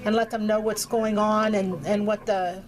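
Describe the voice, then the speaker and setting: A woman's voice: medium pitch, flat delivery, moderate pace. A woman conducting an informal interview, asking questions in an attentive, appreciative manner.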